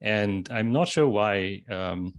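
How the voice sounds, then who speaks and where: A man's voice: lowish voice, lively intonation, slow pace, dull audio. A man with a Singaporean accent, a panelist sharing a reflective personal story with frequent fillers, speaking over a video call. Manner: thoughtful, many fillers and restarts. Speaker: panelist, social entrepreneur.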